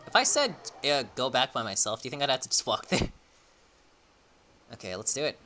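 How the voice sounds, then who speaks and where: Animated young male game streamer, bright voice. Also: medium pitch, a little fast. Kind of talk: casual live gameplay commentary.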